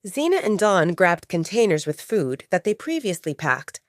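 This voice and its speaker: A woman's voice: lowish voice, sing-song, normal speed, no echo, studio quality. A woman narrating a scripted audio drama as a storyteller.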